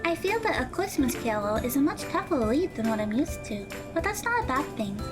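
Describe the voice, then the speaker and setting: A woman playing an earnest, studious high school girl in an audio drama, speaking in precise, formal phrases over music. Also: big pitch swings, brisk.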